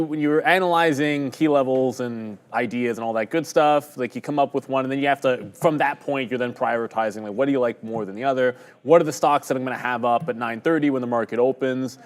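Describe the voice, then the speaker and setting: Guy hosting a live trading stream, rambling, talks through his charts, jokes at his own expense. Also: medium pitch. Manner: rambling, conversational, self-deprecating. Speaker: day-trading stream host. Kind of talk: live market commentary.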